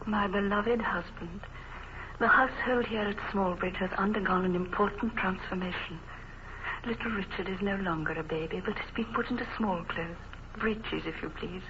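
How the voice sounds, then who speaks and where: Fond, refined English wife played by a woman, mid-pitched, old radio letter voice-over, dull and noisy recording. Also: normal speed, close to an everyday voice. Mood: fondly amused. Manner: refined, affectionate. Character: devoted, fondly amused English gentlewoman wife. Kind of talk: 1950s radio drama, reading a letter.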